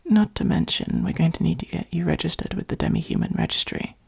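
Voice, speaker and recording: A gentle, protective vampire played by a woman speaks in a soft, husky whisper, thick with vocal fry. The tone is mellow and patient, soothing and reassuring in the manner of a comforting ASMR roleplay. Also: low voice, fast talker, full character voice. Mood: patient explaining. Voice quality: breathy, creaky. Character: gentle, protective adult vampire caring for a fledgling.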